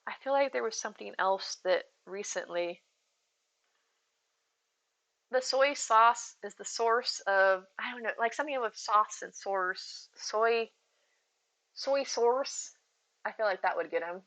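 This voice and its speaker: A woman's voice: mid-range voice, unhurried, long pauses, fairly bright tone. A woman streamer chatting casually with her viewers, rambling and giggling as she goes, with a soothing voice. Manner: chatty, rambling, giggly, addresses chat by name. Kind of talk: casual live-stream chat.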